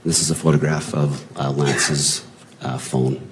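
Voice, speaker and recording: Very deep-voiced American man testifying. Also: flat delivery. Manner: halting in long answers, brief factual replies. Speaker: law enforcement witness testifying. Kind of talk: courtroom testimony. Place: courtroom. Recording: noisy courtroom audio.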